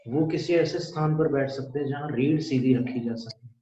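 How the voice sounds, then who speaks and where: A man with an Indian accent leading a guided meditation, speaking calmly and deliberately with pauses. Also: a little high. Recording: echoey room, dull muffled sound.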